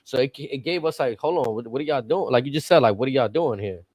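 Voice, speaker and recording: A man's voice: mid-range voice, fast talker, darkish tone, no echo. A man in casual podcast chat, blunt and profane, arguing his points. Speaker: podcast co-host.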